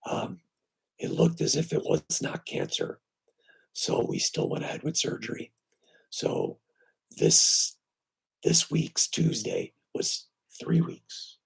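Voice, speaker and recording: A man with weak, breathy and raspy vocal cords, hoarse and short of breath, hosting a casual live stream from home on his computer and talking haltingly with many fillers. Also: a bass voice, low-quality recording. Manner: halting, with many fillers and restarts, lively when greeting viewers. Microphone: computer microphone.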